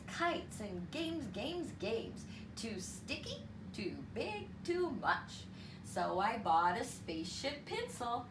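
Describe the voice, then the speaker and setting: Slow, animated woman reading aloud, roomy sound. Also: sits high. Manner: animated, deliberate, teacherly delivery for children. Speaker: children's story reader and math teacher. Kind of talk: expressive read-aloud storytelling with counting lesson. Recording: roomy, distant mic with clear background noise.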